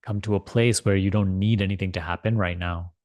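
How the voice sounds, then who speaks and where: A man with a fairly low voice leads a guided meditation in a dry, close sound. He is measured and deliberate, often repeating a phrase and leaving space between thoughts. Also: steady tone, darkish tone, no echo. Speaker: meditation teacher leading a guided meditation. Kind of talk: guided meditation instruction, spiritual talk.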